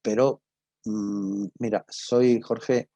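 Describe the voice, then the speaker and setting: An older man with an accent from Spain, giving an informal talk and answering questions, halting and emphatic, often repeating himself, with a husky voice. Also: medium pitch, flat delivery, mellow, no echo. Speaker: radio drama professor and guest speaker.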